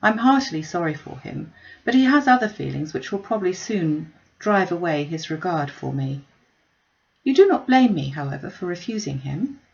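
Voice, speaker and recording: A woman's voice: fairly low pitch, big pitch swings, moderate pace, vocal fry, dull audio. A woman voicing a witty, self-possessed young lady in a classic novel reading, wry, articulate and emphatic. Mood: earnest.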